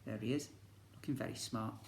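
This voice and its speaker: A man's voice: lowish voice, fairly even intonation, fairly quick. A man, a teacher reading a children's story expressively and breaking off to ask his young listeners questions. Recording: echoey room.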